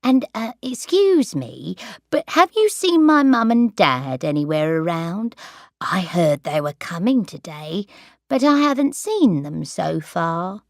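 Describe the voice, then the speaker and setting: A woman's voice: lowish voice, sing-song, takes it slowly, no echo, studio quality, heavily acted. A woman doing a fussy old grandmother. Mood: demanding. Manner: demanding and suspicious. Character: fussy, confused grandmother of eighty.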